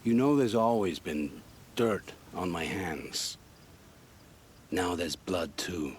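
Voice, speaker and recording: An earnest fantasy game character, a family man weighed down by guilt and bound by honour. His voice is low and his phrasing formal and old-fashioned, with a faint rumble behind it. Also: expressive, takes it slowly. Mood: remorseful. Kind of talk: video game voice acting.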